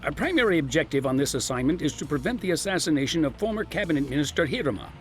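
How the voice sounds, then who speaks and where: A man's voice: a little high, lively intonation, racing, faint music behind, lightly acted. A man playing an authoritative special-ops chief in a video game, formal and resolute in his briefing. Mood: commanding. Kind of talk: English dub acting in a video game cutscene.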